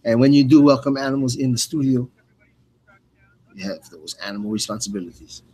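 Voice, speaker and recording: A man's voice: medium pitch, a little fast, a little mellow, husky, rough recording. A man hosting a live fight broadcast with loose, rambling, slangy, informal commentary. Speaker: radio play-by-play host.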